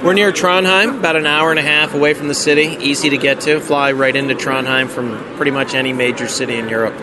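An American man working in marketing for a fishing lodge, chatting casually in an interview, relaxed, with lots of ums and dry humor. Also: fairly high pitch, fast. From United States. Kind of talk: casual interview at an outdoor event. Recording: noisy outdoor street recording.

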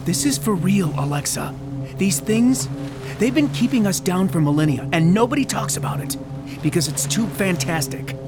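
Earnest conspiracy theorist over loud music. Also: male voice, high voice, lively intonation, normal speed. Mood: urgent, insistent. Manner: earnest, insistent explaining. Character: obsessive male conspiracy theorist, earnest, defensive, urgent. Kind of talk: audio drama acting.

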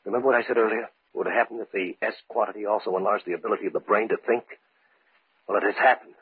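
A man acting as a nervous, fearful scientist in an old-time radio horror drama, with halting, brooding delivery. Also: on the low side, fairly even intonation, slightly rough, no room sound. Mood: hushed dread. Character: nervous male scientist with a dread of spiders. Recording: thin, phone-like vintage radio recording.

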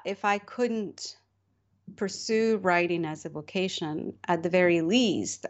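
A low-voiced middle-aged woman from Morocco, speaking as a novelist in an interview. She talks thoughtfully, pausing with fillers and restarting her sentences. The recording sounds a little dull. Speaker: novelist guest. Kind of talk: interview conversation.

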